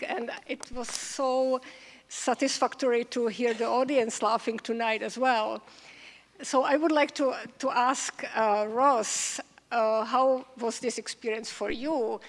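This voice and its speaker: A woman moderating an on-stage interview, speaking unscripted in a halting, careful way with restarts. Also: fairly high pitch. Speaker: moderator, scholar. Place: large event hall stage. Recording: echoey hall with long reverb.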